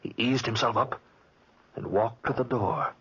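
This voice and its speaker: An actor playing an exhausted, frightened traveler telling of a terrible night, husky and haunted, in 1940s radio drama style. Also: male voice, medium pitch, a little slow, a bit bright. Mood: haunted, tense. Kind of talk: 1940s radio acting, first-person suspense narration. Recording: muffled vintage 1940s radio recording.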